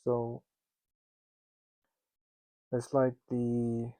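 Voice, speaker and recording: A man's voice: mid-range voice, completely flat delivery, warm tone, dry recording. A man with a Russian accent giving a casual spoken lesson to founders. He jokes and mocks himself in loose asides, and he often stops and restarts his sentences. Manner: joking and self-mocking, with loose asides; halting, with many restarts and fillers. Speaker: instructor teaching founders.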